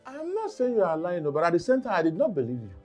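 A man's voice: high voice, huge pitch swings, fast, darkish tone, faint music behind. A middle-aged man with a Nigerian accent acting a sceptical, reproving father in a family drama, blunt and questioning.

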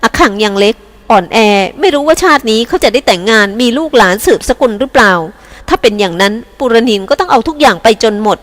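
A woman's voice: fairly high pitch, lively intonation, fast talker, fairly bright tone. A woman reading aloud and voicing an anxious, scheming woman devoted to her young son, in a pleading, urgent way. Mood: insistent.

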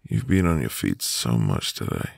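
A man playing a tender, devoted newlywed husband in a soft, intimate, romantic ASMR roleplay with lingering pauses. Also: extremely low pitch, sing-song, gravelly, no room sound, clean studio sound, heavily acted. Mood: adoring, caring. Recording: music behind the voice. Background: music.

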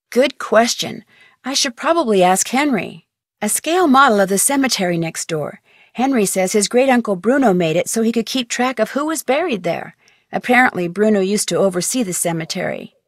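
A woman's voice: fairly high pitch, no echo, studio quality. A woman voicing a curious young amateur detective in a game, with an inquisitive, breezy, slightly cheeky way of asking questions. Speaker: game protagonist. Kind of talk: game voice lines.

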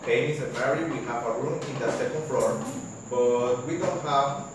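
A student playing a polite reservation agent. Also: male voice, mid-range voice, moderate pace, natural voice. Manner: formal, scripted politeness. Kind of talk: classroom role-play phone call. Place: large classroom, indoors. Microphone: far camera. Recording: echoey classroom from far off, noisy.

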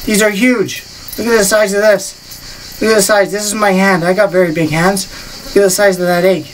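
A woman in her thirties talks casually to a handheld camera while walking around a rural farm. She rambles and restarts her phrases. Crickets and insects are clearly heard outdoors behind her. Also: medium pitch, little pitch movement, fairly quick, a little hiss or hum, low-quality recording. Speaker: vlogger showing her farm. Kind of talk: casual walk-and-talk vlog.

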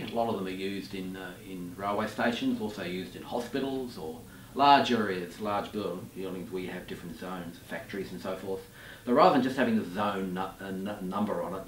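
A man in his fifties gives an unscripted product demonstration in a fairly low voice. He speaks haltingly, feeling for his words. The sound is roomy and set back from the mic, with faint noise behind him. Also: distant mic. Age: 50s. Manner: hesitant, halting delivery.

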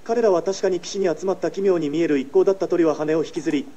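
Very fast male text-to-speech reader. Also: a little high, warm tone, muffled recording. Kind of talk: synthetic read-aloud of book text.

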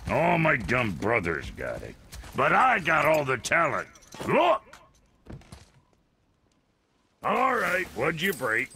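An actor playing a cranky, boastful blacksmith in a fantasy video game, foul-mouthed and folksy with rough, ungrammatical speech and a gravelly voice. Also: male voice, on the high side, huge pitch swings, unhurried, bright, forceful tone, a little hiss or hum, full character voice. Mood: brash. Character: crude, cranky, boastful fantasy blacksmith, small-time trader. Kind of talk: comic video game character acting.

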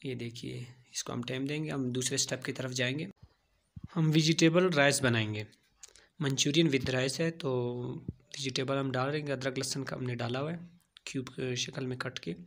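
A man narrating a home cooking tutorial, giving plain step-by-step instructions and repeating key phrases. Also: mid-range voice. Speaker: home cooking channel host.

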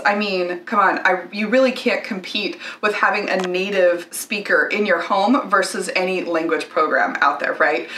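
A woman's voice: mid-range voice, fairly bright tone. An American woman in her thirties talking casually to camera in a chatty, explanatory way. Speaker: company spokesperson, former nanny. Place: indoor living room. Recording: roomy, distant-sounding mic.

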